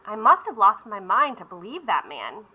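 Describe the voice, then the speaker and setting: A woman's voice: medium pitch, sing-song, normal speed, husky, dull audio. A woman voicing a kindly, generous male baker in a storybook read-aloud. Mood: gentle.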